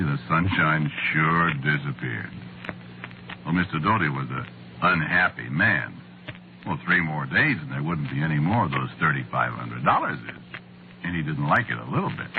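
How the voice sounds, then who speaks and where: An actor playing a tired, sardonic, wisecracking screenwriter in an old radio drama, with slangy, wry delivery. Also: male voice, extremely low pitch, expressive, moderate pace. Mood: weary, wry. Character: weary, wisecracking male Hollywood screenwriter, harried and sardonic. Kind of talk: old-time radio drama acting and first-person narration. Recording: muffled, noisy vintage radio recording.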